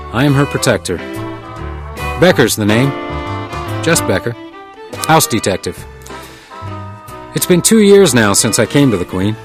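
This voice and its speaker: A hard-boiled house detective, weary and wry, in a radio crime drama. He talks in a terse deadpan at a middling pitch, with prominent music underneath. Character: world-weary male hotel house detective, composed and wry. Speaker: narrator and lead character. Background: music, guitar.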